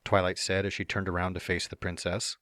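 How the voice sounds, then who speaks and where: A man narrates a storybook tale in a very low, deep voice, slipping into a cocky, teasing tone for the hero's own lines. The sound is close and dry, with no room around it. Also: completely flat delivery, a little fast, sharp tone, no room sound. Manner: first-person narration with playful smug asides. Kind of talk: fan dramatic reading of a story.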